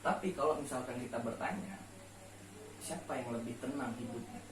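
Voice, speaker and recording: A man's voice: medium pitch, normal speed. A man chatting casually and explaining, asking rhetorical questions and repeating key words for emphasis. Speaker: main speaker on a late-night discussion show. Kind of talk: casual explanatory chat on faith and life. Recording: roomy echo, distant mic, faint noise.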